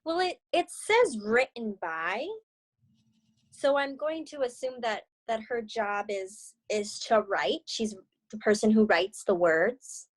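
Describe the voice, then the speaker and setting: A young woman reading a children's storybook aloud on a video call, expressive and encouraging as she chats about it. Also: on the high side, slow pace. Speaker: read-aloud co-host, story reader.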